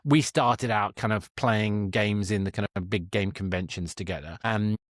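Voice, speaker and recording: A man's voice: lowish voice, normal speed, no room sound, studio quality. A man hosting a podcast in a casual chat, with informal, loosely phrased questions. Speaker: podcast host, interviewer.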